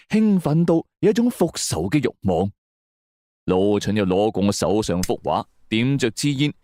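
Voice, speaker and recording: A man's voice: lowish voice, a little mellow, no room sound, clean studio sound. A man with a Cantonese accent narrating a suspense story in the first person, in a dramatic scripted style. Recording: music bed under the voice.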